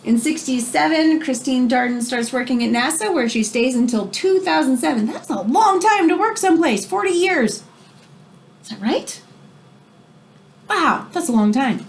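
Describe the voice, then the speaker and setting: A woman reading a children's book aloud in an expressive way, as if explaining it to young listeners. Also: high-pitched, normal speed. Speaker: read-aloud storyteller.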